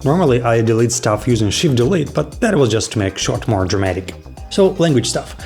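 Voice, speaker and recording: A young man talks straight to camera in a relaxed, playful vlog, jumping now and then into mock astonishment. His voice sits at an ordinary middle pitch, and soft music plays quietly underneath. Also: brisk. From Belarus. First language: Belarusian. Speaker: video creator. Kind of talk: casual vlog talk to camera.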